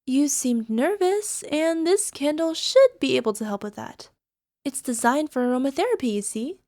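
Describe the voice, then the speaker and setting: A lovesick young alchemist girl acted out by a woman speaks in a fairly high voice with no room echo. She coaxes coyly and sounds sweet with a possessive, menacing edge, in the style of an ASMR girlfriend roleplay. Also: a little mellow, clean studio sound, heavily acted. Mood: soothing. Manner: coy, coaxing, giggly, tag questions. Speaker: voice actor.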